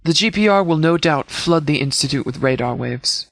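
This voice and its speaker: Formal, higher-pitched male military commander. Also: lively intonation, vocal fry, a bit bright, no room sound. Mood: commanding. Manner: formal, measured, authoritative diction. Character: stern, formal military commander giving orders. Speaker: video game character voice. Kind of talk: scripted game dialogue, mission briefing.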